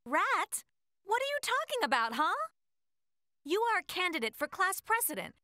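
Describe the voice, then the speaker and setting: Cartoon angel teen, high, lively, self-assured, playful. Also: female voice, moderate pace, no echo, studio quality, heavily acted. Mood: stern. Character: confident cheerful teen angel girl.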